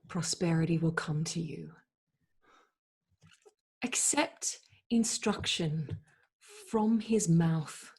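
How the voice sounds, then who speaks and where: A woman playing a solemn, pious counselor who exhorts with deliberate, emphatic phrasing in a dramatic scripture reading. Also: a little high, a little mellow, breathy edge, heavily acted.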